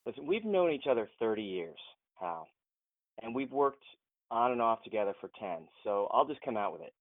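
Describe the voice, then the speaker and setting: An actor playing a frustrated, principled film producer in an audio drama, earnest and insistent, heard over a thin phone line. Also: male voice, medium pitch, big pitch swings, moderate pace, no room sound, lightly acted. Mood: frustrated, pressing. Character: frustrated, principled male film producer challenging his boss. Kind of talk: modern audio drama acting.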